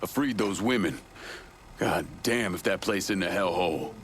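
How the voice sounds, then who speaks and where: An actor playing a grim, determined vigilante in a video game, terse and hard-edged. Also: male voice, sits low, moderate pace, fairly bright tone, slight background noise. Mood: resolute. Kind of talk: video game cutscene acting.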